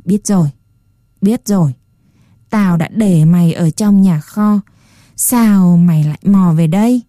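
A woman's voice: lowish voice, unhurried, dark tone, dry recording, studio quality, lightly acted. A woman voicing a grumpy, scornful old dollmaker who scolds and shoos a child away. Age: older. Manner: gruff, scolding, dismissive.

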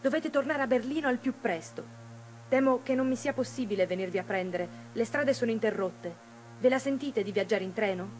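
A woman's voice: a little high, lively intonation, darkish tone, faint music behind, natural voice, rough recording. A woman playing an urgent, loyal revolutionary comrade bringing news in a theatrical radio drama. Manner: urgent, reporting briskly.

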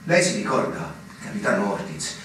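An actor playing an earnest, frustrated young lieutenant in a radio drama, with insistent delivery. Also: male voice, on the low side, big pitch swings, normal speed, fairly bright tone, heavy reverb, far from the mic, slight background noise. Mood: respectful, aggrieved. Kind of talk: theatrical radio drama acting.

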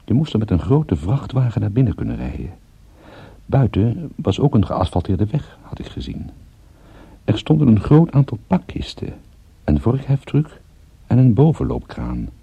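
An actor playing a dry, sardonic private detective who narrates and talks in a radio drama with wry understatement. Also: male voice, fairly low pitch, huge pitch swings, moderate pace, gravelly, husky, dry recording, lo-fi sound, full character voice. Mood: dry narration. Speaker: lead character and narrator.

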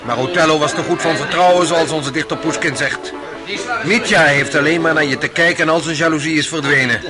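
A man's voice: fairly high pitch, steady tone, brisk, music in the background, lightly acted. An actor playing a cynical, bookish man in a radio play, with a sardonic, knowing manner. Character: cynical, wry, bookish Russian male acquaintance. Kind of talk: Dutch radio play acting.

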